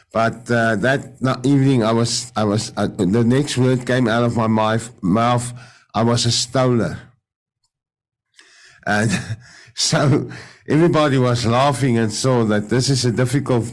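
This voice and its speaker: Halting Afrikaans-accented man. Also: medium pitch, darkish tone. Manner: halting, searching for English words, many restarts. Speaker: radio presenter sharing testimony. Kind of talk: personal faith testimony in a video meeting. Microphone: video call.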